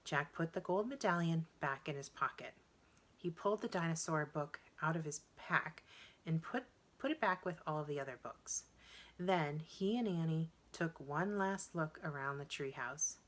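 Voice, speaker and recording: A woman reading a children's story aloud in an expressive, deliberate way. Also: deep voice. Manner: animated, deliberate, acts out dialogue. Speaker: storybook reader.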